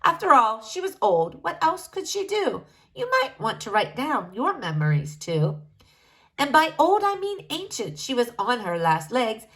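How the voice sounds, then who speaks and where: A woman reading a rhyming children's story aloud in an expressive narrating style. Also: on the high side, unhurried, poor audio. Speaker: storybook reader.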